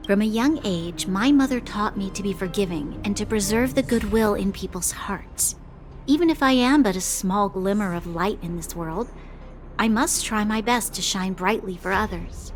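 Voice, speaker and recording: A woman voicing a gentle, idealistic young heroine in a video game, speaking earnestly in measured, formal phrasing. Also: mid-range voice, faint music behind, natural voice.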